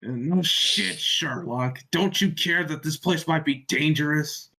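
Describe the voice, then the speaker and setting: A man's voice: fairly high pitch, slow pace, creaky, bright, forceful tone, heavily acted, low-quality recording. A man voicing a wary, sarcastic teenage hedgehog hero in an amateur story read. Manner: sarcastic, uneasy. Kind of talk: amateur fan-fiction table read.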